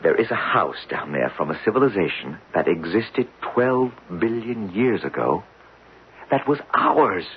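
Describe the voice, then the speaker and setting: An American actor in a 1970s radio drama, playing an earnest, desperate geologist who pleads insistently in a husky voice. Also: male voice, low voice, big pitch swings, measured pace, a little hiss or hum. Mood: earnest, excited. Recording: muffled lo-fi 1970s radio recording.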